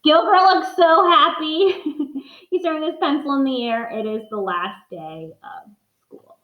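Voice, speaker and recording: A woman teacher with a fairly high voice reads and talks to young pupils. Her delivery is expressive and geared to children. The sound is echoey and a little dull. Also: measured pace. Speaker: primary school teacher reading aloud. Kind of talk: storybook read-aloud with casual asides to pupils.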